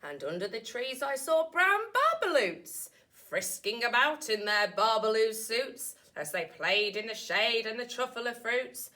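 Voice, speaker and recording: A woman voicing a wistful, excitable old recluse telling his tale from a children's storybook, with dramatic drawn-out emphasis. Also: fairly high pitch, heavily acted. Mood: wistful, dreamy. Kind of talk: children's storybook read aloud.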